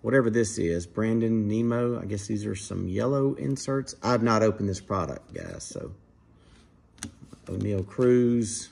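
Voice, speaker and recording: A man casually commenting to viewers while opening trading card packs at a dining table at home, in a relaxed, chatty way. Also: on the low side, gravelly, breathy edge. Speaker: card breaker, channel host.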